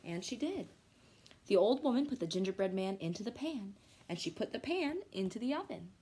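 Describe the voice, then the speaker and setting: A young woman reading a children's storybook aloud at home in an expressive, playful way. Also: medium pitch, brisk, slight background noise. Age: young adult. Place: small living room at home.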